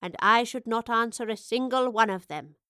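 Woman doing a gentle old lady professor, slow and knowing, storybook voice, dry sound. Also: on the high side, no room sound. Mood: wistful. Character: elderly woman professor, calm and wistful.

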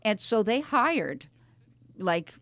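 A middle-aged woman hosts a relaxed, chatty interview with young performers. She is effusive and encouraging, speaking at an ordinary pitch. The sound is close and dry, like a studio. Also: slow pace, no room sound. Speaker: radio host and voice teacher interviewing young performers. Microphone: studio microphone with headsets.

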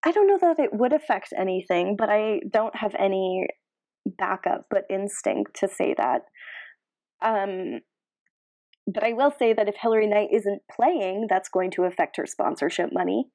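A woman's voice: mid-range voice, lively intonation, moderate pace, a little mellow. A woman co-hosting a podcast, casually discussing sports and giving reasoned opinions. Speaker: podcast co-host.

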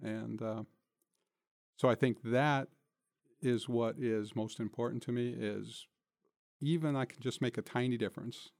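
A man's voice: mid-range voice, steady tone, a little slow, creaky, long pauses, a little mellow, no room sound. An older man answering interview questions as a guest in a conversational, reflective and plain-spoken way, recorded in a studio. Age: older, nearing retirement. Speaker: interview guest, city manager.

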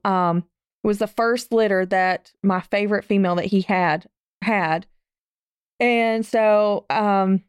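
A woman's voice: medium pitch, smooth, no echo, clean studio sound. A woman hosting an interview, chatting casually and saying "like" often. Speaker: podcast host.

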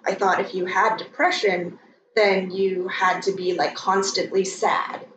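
A woman's voice: mid-range voice, flat delivery, unhurried, a crowd in the background. A woman in her thirties hosting a podcast interview, joking and laughing, and supportive of her guest. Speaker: podcast host. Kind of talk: casual interview chat. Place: home room with a dog. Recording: roomy, distant, dull sound.

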